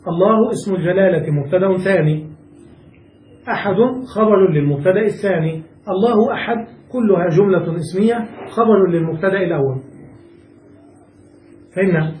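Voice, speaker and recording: A man's voice: high-pitched, darkish tone, echoey room, distant mic, a little hiss or hum, poor audio. A man teaching a classical Arabic grammar lesson, explaining step by step and checking that students follow. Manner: patient, asks checking questions to students.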